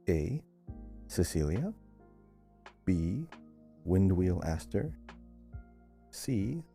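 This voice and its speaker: A man co-hosting a casual gaming quiz podcast, reading questions out in a measured, deliberate way. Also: deep voice, warm tone. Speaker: podcast co-host and quiz reader. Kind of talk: casual video game lore quiz podcast.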